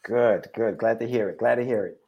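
A man's voice: lowish voice, fairly quick, vocal fry, dull audio. A man in his forties from Ecuador who moved to the States as a child, talking casually and chattily as a podcast guest and repeating phrases. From Ecuador, moved to the States at seven. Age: 40s. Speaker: podcast guest, comic book creator. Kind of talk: casual conversational storytelling.

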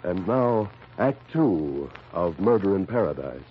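A man's voice: sits low, big pitch swings, measured pace, husky, soft background music. A man narrating as the announcer of an old-time radio drama. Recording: dull lo-fi old radio recording.

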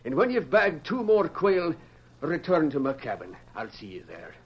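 An actor playing a kindly old Scottish falconer with a thick accent, in 1940s radio acting style. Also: male voice, a little high, wildly swooping pitch, normal speed. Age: older. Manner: hearty, burring, fatherly. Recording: dull old radio recording with faint hiss.

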